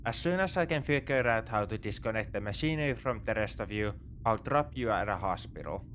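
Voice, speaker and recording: A man's voice: fairly low pitch, animated, brisk, a bit bright, a little hiss or hum. A man playing a morally grey space pirate captor in a sci-fi ASMR roleplay, talking in a casual, wry, coaxing way. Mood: matter-of-fact. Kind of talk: second-person sci-fi ASMR roleplay acting.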